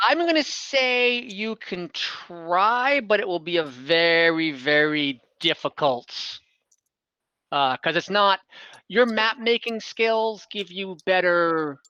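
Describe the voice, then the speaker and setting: A man's voice: high voice, slow pace, sharp tone, clean tone, dry recording, dull audio. A man acting as game master, improvising narration and rulings casually while thinking aloud over an online call. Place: close, dry mic on an online game call.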